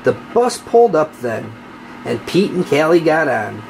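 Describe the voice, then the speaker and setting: A narrator reading a children's picture book aloud in an expressive, deliberate way. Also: mid-range voice, creaky, slight background noise, rough recording. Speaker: storybook narrator.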